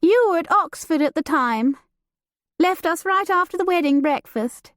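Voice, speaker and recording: A woman's voice: very high-pitched, animated, moderate pace, fairly bright tone, no room sound, clean studio sound, voice pushed up. A woman voicing a flighty, romantic young noblewoman with a lilting, gossipy manner. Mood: chatty.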